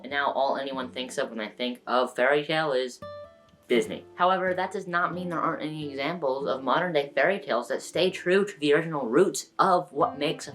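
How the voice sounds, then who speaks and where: Low-pitched woman narrating a video essay. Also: fairly bright tone. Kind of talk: scripted video essay narration with casual asides.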